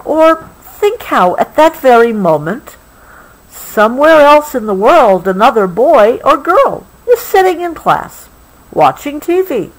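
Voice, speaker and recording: A woman reads a picture book aloud to a child, gently adding explanations as she goes. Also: fairly high pitch, poor audio. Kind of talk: read-aloud storybook with explanatory asides.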